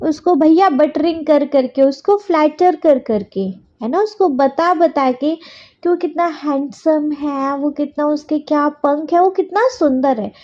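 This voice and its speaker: A woman's voice: high-pitched, unhurried, a little mellow, dull audio. A woman schoolteacher with an Indian accent giving a question-and-answer lesson, didactic, repeating sentences as dictation and checking that pupils follow. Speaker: school English teacher. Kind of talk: textbook question-and-answer lesson in Hindi and English.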